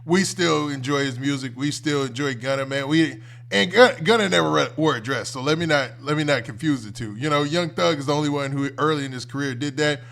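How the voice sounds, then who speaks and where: Higher-pitched American man giving casual commentary. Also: brisk, vocal fry, a bit bright. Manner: conversational, colloquial, often ends phrases with "man". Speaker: host of a commentary livestream. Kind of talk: casual off-the-cuff reaction commentary.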